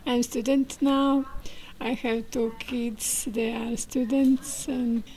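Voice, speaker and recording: A woman's voice: fairly high pitch, level tone, takes it slowly, mellow, slight background noise, rough recording. A woman from Croatia, introducing herself in plain English in class. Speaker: student.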